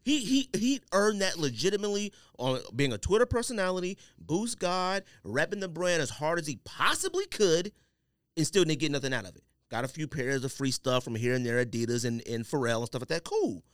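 A man hosting a podcast in casual banter, talking in an animated, slangy, emphatic way. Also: fairly high pitch, a little fast, no echo, studio quality. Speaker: podcast host.

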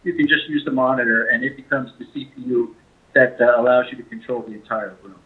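A man speaking as a company representative, giving a conversational product pitch as an interview guest over a phone line. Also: fairly even intonation, fast. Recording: tinny narrow-band phone line.

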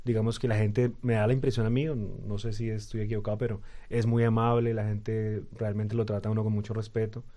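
A man's voice: on the low side, level tone, brisk, hardly any pauses, warm tone. A young Colombian man with a Colombian Spanish accent, a radio guest talking hesitantly with many fillers and restarts. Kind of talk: casual interview talk.

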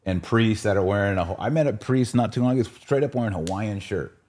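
A man speaks in a low register with a soft, mellow tone. He talks casually and colloquially, as a podcast host would in an easy back-and-forth conversation. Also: quick pace.

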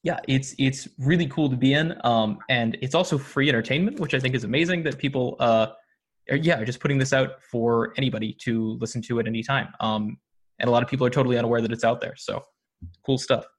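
A man's voice: medium pitch, fast talker. A man with an American accent speaking casually as an interview guest, explaining things in an articulate way. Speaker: podcast guest, audio drama producer.

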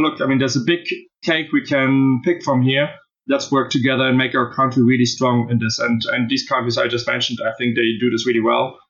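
A man's voice: medium pitch, fairly bright tone, muffled recording. A man from southern Spain with a German accent, a guest in a casual interview who talks thoughtfully with many fillers and restarts. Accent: German-accented English. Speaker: podcast guest, blockchain author.

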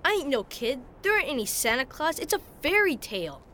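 A woman's voice: sits high, sing-song, brisk, bright, forceful tone, slight background noise, heavily acted. A woman playing a sullen, skeptical young frontier boy with an American Western accent and blunt rustic speech. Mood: skeptical, grudging. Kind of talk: radio drama acting.

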